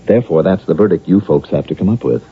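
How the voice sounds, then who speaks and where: A distraught accused killer, swooping voice. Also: male voice, deep voice, animated, fast talker, slightly rough, exaggerated voice. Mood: bitter, defensive. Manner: emotional, evasive, defensive. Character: distraught accused killer, weary defiant psychiatric patient. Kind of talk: vintage radio drama acting. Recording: muffled phone-like old radio recording.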